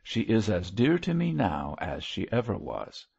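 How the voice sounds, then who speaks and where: An earnest young gentleman parson from a storybook, played by a male narrator. He speaks formally and piously, with a nervous edge and lively swings of pitch in a slightly husky voice. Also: a little slow, highs cut off, exaggerated voice. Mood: solemn, earnest.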